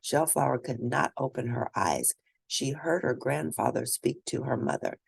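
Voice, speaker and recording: Woman, children's read-aloud, expressive, teacherly, creaky edge, dry recording. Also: a bass voice, normal speed, no room sound. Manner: expressive, teacherly, asks questions of listeners. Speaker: storybook reader.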